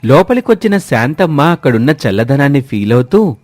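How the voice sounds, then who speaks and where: A man's voice: medium pitch, sing-song, brisk, darkish tone, no room sound, soft background music. Male story narrator, close and dry. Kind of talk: scripted storytelling narration for a cartoon.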